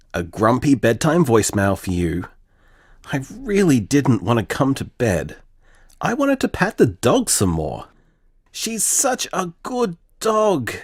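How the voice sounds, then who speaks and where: An actor playing a blissful, affectionate, mock-grumpy boyfriend leaving a conversational bedtime voicemail in an audio drama. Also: male voice, medium pitch, no echo, clean studio sound, full character voice.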